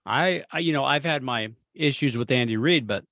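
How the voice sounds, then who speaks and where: An American man co-hosting a casual sports chat, speaking in opinionated, rambling and animated bursts. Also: mid-range voice, normal speed, gravelly, no room sound, clean studio sound. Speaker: sports talk co-host.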